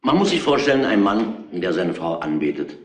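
An actor playing a gruff, domineering police inspector who deliberately lays out a case in a retro crime radio play. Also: male voice, low voice, sing-song, reverberant. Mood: musing, insistent.

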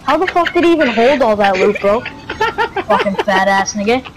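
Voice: mid-range voice, big pitch swings, normal speed. A young, foul-mouthed player trash-talking brashly over game voice chat on a headset. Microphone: gaming headset over voice chat. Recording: noisy game voice chat with clicks.

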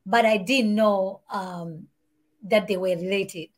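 A woman with a Malawian accent talks through her story as an interview guest, lively and gesturing as she speaks. She sits at home and comes through a video call headset. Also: medium pitch, slow pace. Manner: animated, talks with her hands. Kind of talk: casual interview chat. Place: home room with shelves and warm lamp. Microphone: video call, earbuds.

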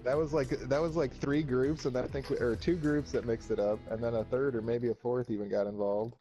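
A man with a medium-pitched voice chats casually while gaming with friends. His talk is loose, slangy and full of swearing, with music playing behind him. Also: fairly even intonation, a little fast, mellow, highs cut off. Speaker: gamer playing squad online shooter.

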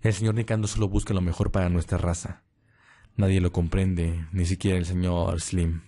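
Casting: male actor as loyal, stubborn vampire follower, low dark voice, curt, defiant, roleplay audio drama. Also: level tone, moderate pace. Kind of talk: Spanish-language ASMR roleplay audio drama.

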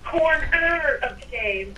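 A woman's voice: high voice, lively intonation, drawn-out words, bright, forceful tone. A woman chatting casually in a roleplay game over a noisy voice chat. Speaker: player in a Minecraft roleplay group.

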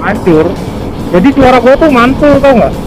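A man with a strikingly high voice and a colloquial Jakarta Indonesian accent trades playful, slangy banter from a motorcycle. He talks through a helmet intercom, with music clearly audible behind him. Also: animated, moderate pace. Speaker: motovlogger, riding companion. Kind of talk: casual slangy banter while riding. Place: outdoors on a moving motorcycle. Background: music, vehicle sound.

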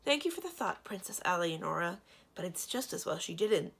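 A woman's voice: on the low side, expressive, brisk, vocal fry, breathy edge, heavily acted. A woman doing a polite, apologetic stone prince. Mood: courteous. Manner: courteous, apologetic.

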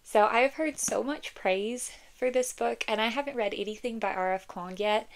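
A woman's voice: on the high side, moderate pace. A young woman vlogging casually about books from her home, a little congested from a cold, talking with lots of "like" and "um". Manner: chatty, frequent "like" and "um", reads blurbs aloud. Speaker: book vlogger. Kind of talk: casual chat and blurb reading.

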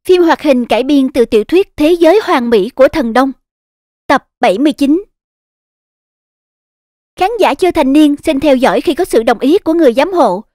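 A woman reading a scripted voice-over dub for an animated series. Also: sits high, moderate pace, long pauses, no echo, clean studio sound.

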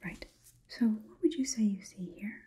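A young woman whispering an ASMR roleplay close to the mic as a coolly logical robot overlord, in a halting, clinical, deliberate way. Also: mid-range voice, mellow. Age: young adult. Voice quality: breathy, unvoiced whisper. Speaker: ASMR performer. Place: small indoor room. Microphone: close microphone.

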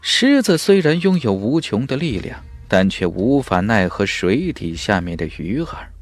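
Lively, dramatic male audiobook narrator. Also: lowish voice, moderate pace. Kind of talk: dramatic scripted fantasy narration. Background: faint music.

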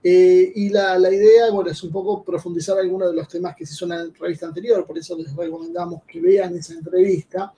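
A man co-hosting an interview, explaining the topics to viewers with many pauses and fillers. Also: high voice, fairly even intonation, warm tone, recorded from a distance. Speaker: co-host interviewer. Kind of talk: interview introduction. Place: home room.

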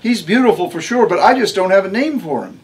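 A man voicing a bold, earthy woman in labor, a mother of many children, who talks in a loud, bossy, folksy drawl. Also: sits high, animated, a little fast, pitched above the performer's own voice. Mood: chatty.

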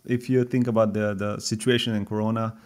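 A Serbian-accented man in his thirties with a lowish voice that stays flat and even. He talks as a podcast guest, pausing and restarting as he thinks. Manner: thoughtful, with many fillers and restarts. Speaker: podcast guest, software developer. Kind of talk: casual podcast conversation.

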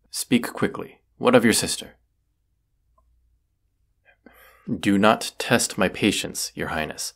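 A man playing a proud, brooding, sardonic wizard of the woods in a scripted audio roleplay, speaking haughtily and deliberately. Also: low voice, vocal fry, a bit bright, full character voice. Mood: alarmed, threatening.

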